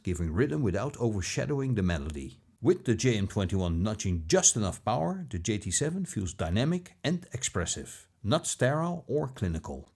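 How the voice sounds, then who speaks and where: A man narrating a scripted product review as a video host, with a measured, polished presenter delivery. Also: medium pitch, a little mellow.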